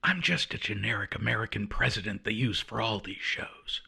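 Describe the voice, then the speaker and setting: An actor playing a stoic, formal American president, deadpan and gravelly, in a comedy audio drama. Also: male voice, fairly low pitch, normal speed, exaggerated voice. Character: stoic generic American president, authority figure.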